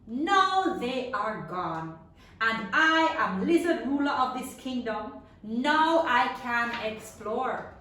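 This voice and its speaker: A woman reading a story aloud to young children in an expressive, dramatic way, drawing out words and sounds and putting questions to her listeners. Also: fairly high pitch. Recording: very echoey room, distant mic.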